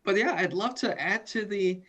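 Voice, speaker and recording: A man's voice: high voice, normal speed, sharp tone. Young adult man, from the US West Coast, student guest, earnest, repeats words. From western United States. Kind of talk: video call interview.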